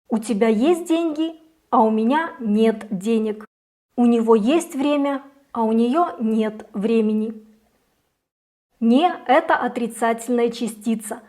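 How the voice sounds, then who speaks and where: A young woman teaching a scripted grammar lesson, saying each example slowly and clearly. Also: a little high, darkish tone. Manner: slow, careful, clearly articulated examples. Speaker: Russian language teacher.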